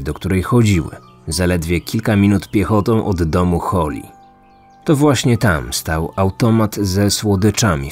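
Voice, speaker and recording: Low-voiced man narrating true crime over music. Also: darkish tone. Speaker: true crime podcast host. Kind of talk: scripted narration. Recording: music bed behind the voice.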